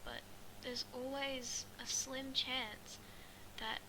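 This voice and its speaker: A woman with a fairly high voice reads a first-person story aloud over clear background noise. Her delivery stays within a very narrow, flat range of pitch. She goes haltingly, tripping on phrases and going back over them like an amateur reader. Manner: halting, stumbling and rereading phrases. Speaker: amateur fan fiction reader.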